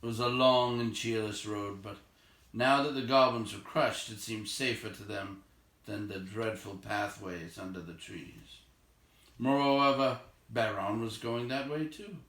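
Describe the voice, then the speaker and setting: A man's voice: on the low side, a little slow, a bit bright, roomy sound, poor audio. A man narrating, reading a storybook aloud.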